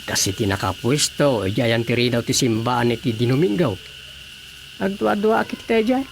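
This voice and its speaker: A man's voice: medium pitch, expressive, moderate pace, creaky, background noise. A man playing a doubting, gossipy villager in an Ilocano radio drama comedy. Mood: suspicious.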